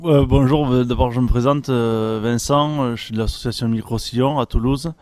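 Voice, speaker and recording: A man's voice: mid-range voice, steady tone, normal speed, a little mellow. A man taking part in a radio discussion, introducing himself and asking questions in a hesitant, rephrasing way. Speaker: participant from an association.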